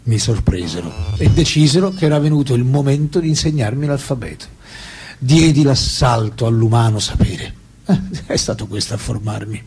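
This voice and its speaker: An actor playing a candid, detached French intellectual man recalling his life in a radio drama, with a husky voice and reflective, hesitant delivery. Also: mid-range voice, lively intonation, moderate pace, darkish tone, a little hiss or hum, lightly acted. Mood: candid, recollecting. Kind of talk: radio drama acting, memoir monologue and dialogue.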